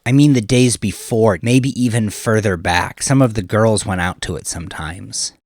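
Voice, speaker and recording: A man's voice: on the low side, normal speed, a little mellow, dry recording, clean studio sound, pitched above the performer's own voice. A man playing an ambitious young gangster in an audio crime story, coaxing and reassuring as he asks probing questions.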